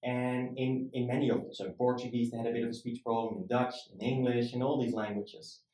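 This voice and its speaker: A man's voice: mid-range voice, flat delivery, brisk, mellow. A man, a startup founder, talking casually in an interview, rambling and often checking in with "right?". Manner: rambling, frequent "right?" tag questions. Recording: roomy echo.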